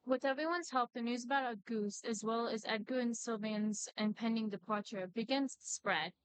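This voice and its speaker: A woman plays an earnest young female video game character. Her voice is smooth, clear and a little high as she reads the scripted lines. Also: normal speed, dry recording, lightly acted, rough recording.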